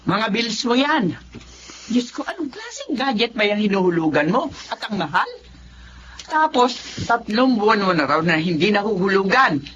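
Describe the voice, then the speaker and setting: An older Filipino mother in an emotional TV drama. She nags and scolds, her pitch moving up and down a lot. The audio is dull with light noise behind it. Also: very animated, normal speed, a bit bright. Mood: exasperated scolding. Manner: scolding, questioning. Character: nagging, long-suffering older mother. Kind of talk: emotional television drama acting.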